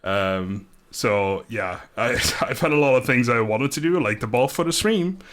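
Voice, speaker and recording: A man in his thirties from the Netherlands, chatting casually with livestream viewers in a rambling, laid-back, low-energy way with mild swearing. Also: lively intonation, a little slow, fairly bright tone. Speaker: live streamer. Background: music.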